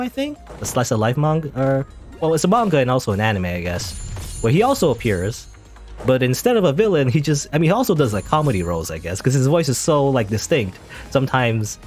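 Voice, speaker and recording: A man giving casual, rambling commentary while playing a video game, often correcting himself mid-sentence. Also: medium pitch. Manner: rambling, chatty, self-correcting. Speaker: gaming channel host. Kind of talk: casual let's play commentary.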